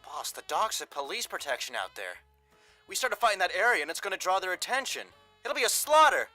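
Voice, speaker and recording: An actor playing a plain-spoken, earnest young hired thug in a 1930s radio drama. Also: male voice, high voice, big pitch swings, brisk, pushed and bright, dry recording, faint music behind, exaggerated voice. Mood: protesting, defiant. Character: tough, earnest young male hired thug, devoted expectant father.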